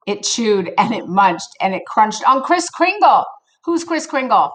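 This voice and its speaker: Slow-paced woman reading a children's storybook aloud. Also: a little high. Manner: expressive, playful, addresses listeners directly. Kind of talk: read-aloud storytelling with casual asides to young listeners.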